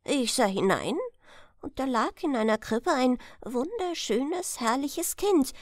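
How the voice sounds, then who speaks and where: Lady doing a wise old male stork for a storybook, solemn, slow, swingy pitch. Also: animated, no room sound, studio quality. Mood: solemn wonder. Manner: interjects "äh", proclaims solemnly. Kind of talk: storybook character voice.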